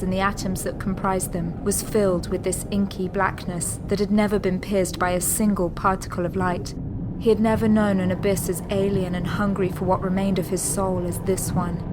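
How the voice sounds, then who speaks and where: A woman's voice: medium pitch, very noisy, close to an everyday voice. A woman giving dramatic, scripted narration as the storyteller of an audio drama. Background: music.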